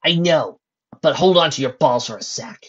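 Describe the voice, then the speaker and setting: A man's voice: a little high, normal speed, fairly bright tone, slightly rough, pitched above the performer's own voice. A man voicing a bossy, tough female pony guardian in a sarcastic, blunt way, on a dull lo-fi recording.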